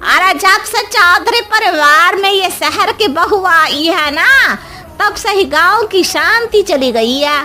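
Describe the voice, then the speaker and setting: A woman's voice: very high voice, lively intonation, slow, sharp tone, a little hiss or hum, voice pushed up, full character voice. A woman voicing a gossiping, accusing village busybody in a complaining, tattling manner for a storybook tale.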